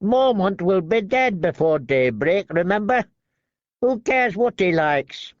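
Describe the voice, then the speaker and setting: A man's voice: high-pitched, lively intonation, slow pace, no echo, highs cut off, voice pushed up. A man voicing a thin, nervous, complaining male deserter in an audiobook, with a sneering, whining delivery.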